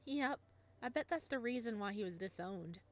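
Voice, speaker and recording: A woman voicing a cheerful, teasing woman friend in a visual novel, brisk and matter-of-fact. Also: mid-range voice, lively intonation, close to an everyday voice. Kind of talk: reading a visual novel aloud.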